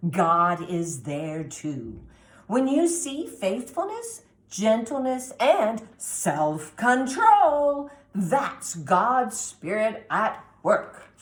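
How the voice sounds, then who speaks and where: A female reader voicing a gentle, reassuring grandmother in a children's picture book, speaking with loving emphasis. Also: on the high side, full character voice. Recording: roomy echo, faint background noise.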